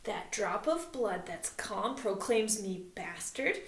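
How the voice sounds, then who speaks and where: A woman's voice: medium pitch, expressive, takes it slowly, roomy sound. A woman voicing a furious young nobleman who declaims his defiance in a hot-tempered way. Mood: defiant.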